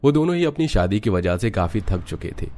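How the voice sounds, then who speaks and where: A man serves as narrator for a cartoon family tale. His voice is on the low side, and he delivers scripted storytelling narration. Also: big pitch swings, fairly quick, darkish tone.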